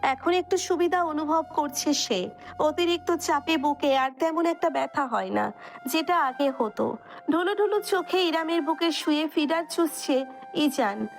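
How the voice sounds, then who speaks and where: A woman reading scripted narration for a romantic story. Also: high-pitched. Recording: music under the voice. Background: background music.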